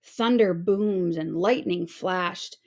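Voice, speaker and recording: A woman reading a children's storybook aloud with theatrical, expressive storytelling. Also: fairly low pitch, slow.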